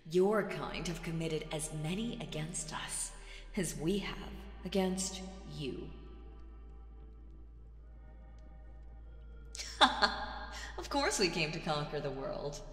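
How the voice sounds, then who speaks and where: A woman voicing a proud, mocking demon queen in an ASMR audio roleplay, theatrical and condescending with dramatic pauses. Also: deep voice, lively intonation, a bit bright, soft background music, heavily acted. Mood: proud, challenging. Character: proud, mocking female demon queen, vengeful, regal villain. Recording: roomy with long reverb.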